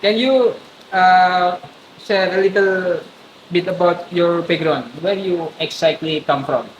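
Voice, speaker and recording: Playful higher-voiced man hosting, echoey room. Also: takes it slowly, slight background noise. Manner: playful, jokey questions. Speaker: podcast host. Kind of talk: casual podcast interview.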